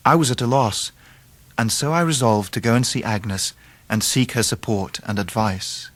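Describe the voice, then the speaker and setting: An actor playing an earnest, polite young Victorian English gentleman in a radio drama. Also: mid-range voice, moderate pace, no echo, lightly acted. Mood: troubled, reflective. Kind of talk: radio drama narration and dialogue.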